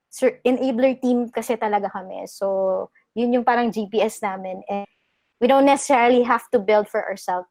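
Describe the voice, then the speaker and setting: A woman's voice: on the high side, dry recording. A woman with a Filipino accent giving casual, chatty remarks in a team meeting, switching between Tagalog and English. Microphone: video call.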